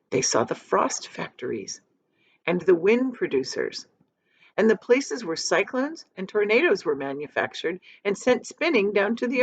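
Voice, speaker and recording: A woman teacher reading a children's story aloud in a deliberate, dramatic manner. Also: lowish voice, husky, no echo, lo-fi sound. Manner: deliberate, dramatic, shows pictures to listeners.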